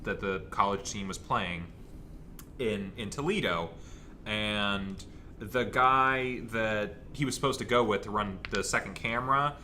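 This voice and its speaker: A man chatting casually and telling a rambling anecdote in a halting way, often cutting himself off. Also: fairly low pitch, pushed and bright, slight background noise. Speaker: panelist on a fan Q&A show.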